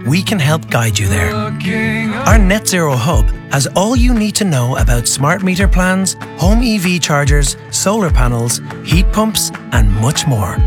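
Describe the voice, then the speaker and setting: A woman reading an energy company advert, calm and reassuring. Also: fairly low pitch. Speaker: advert voice-over. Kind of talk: calm corporate ad read.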